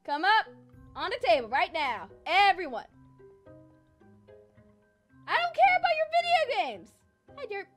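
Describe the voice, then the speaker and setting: A woman's voice: very high-pitched, sing-song, unhurried, long pauses, full character voice. A young woman playing a bossy, power-hungry girl turning evil in an improvised Minecraft roleplay. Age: young adult. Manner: bossy, demanding. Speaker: gaming video host and player. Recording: music behind the voice.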